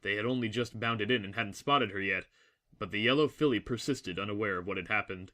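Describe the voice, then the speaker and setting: A male narrator with a fairly low, crisp bright voice. He reads a scripted story in a dramatic storytelling style. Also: brisk.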